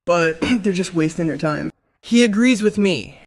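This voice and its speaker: An actress playing a spiteful, gossipy middle-aged wife in a crime radio play, scornful and sarcastic. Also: female voice, lowish voice, lively intonation, a little slow. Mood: scornful, accusing.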